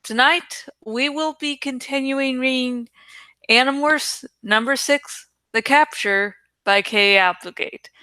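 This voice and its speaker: A woman reading a story aloud as host, with measured, deliberate, expressive narration. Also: sits high, dry recording. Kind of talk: expressive audiobook-style narration.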